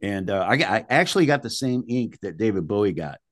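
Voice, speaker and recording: A man's voice: lowish voice, lively intonation, quick pace, no echo, clean studio sound. An older man, a musician being interviewed, telling anecdotes in a casual, blunt, salty and colloquial way.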